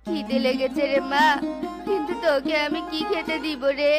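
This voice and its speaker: Brief: cartoon tigress widow and mother, very high, tender voice, played by a woman, music behind. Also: steady tone, normal speed, lightly acted. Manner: wailing, tender to her child. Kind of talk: cartoon voice acting. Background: loud cartoon music.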